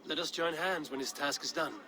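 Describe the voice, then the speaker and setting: An actor playing a steady, diplomatic Viking warrior chieftain in a video game, measured and dryly wry. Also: male voice, on the high side, natural voice. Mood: friendly, probing. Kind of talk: dramatic video game acting.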